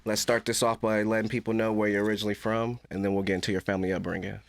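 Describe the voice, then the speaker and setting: Low-voiced upbeat podcast host. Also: male voice, little pitch movement, rapid-fire, dry recording. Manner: upbeat, hype-style introductions with slang. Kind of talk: casual interview chat.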